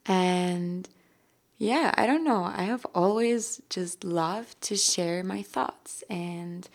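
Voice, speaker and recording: A young Austrian woman talks slowly through her own podcast, her voice sitting a little low for a woman. She speaks with an Austrian German accent and rambles gently, with soft laughs and plenty of "um"s. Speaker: podcast host. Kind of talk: casual solo podcast monologue.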